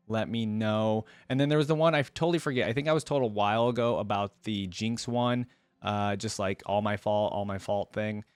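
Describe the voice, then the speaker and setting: Man, mid-pitched voice, reaction video host, casual unscripted commentary, conversational, dry close sound. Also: clear, resonant tone, dry recording.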